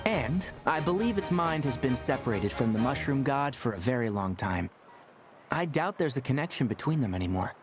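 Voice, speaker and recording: A man voicing a knowledgeable, calm, friendly young scholar in a video game, articulate and explanatory. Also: medium pitch, moderate pace, natural voice. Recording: game music behind.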